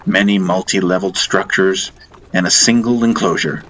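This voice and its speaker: A man narrating a first-person fantasy audiobook in deliberate, dramatic short phrases. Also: low voice, fairly even intonation, fairly bright tone, a little hiss or hum, low-quality recording. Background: music.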